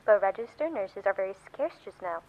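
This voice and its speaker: An actress playing a polite, detached hospital receptionist in a radio play, formal and officious, with dull sound. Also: female voice, mid-range voice, lively intonation, a little fast, natural voice. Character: formal, unhurried hospital receptionist woman on telephone.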